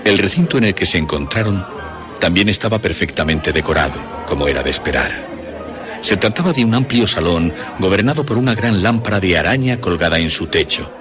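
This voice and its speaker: A man's voice: sits low, lively intonation, fairly quick, crowd noise. A man narrating a scripted suspense story as its storyteller. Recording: music behind the voice. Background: music.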